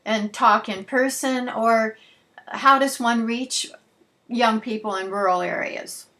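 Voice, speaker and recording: A woman's voice: a little high, slow pace, bright, forceful tone. A woman, asking questions conversationally as an interviewer.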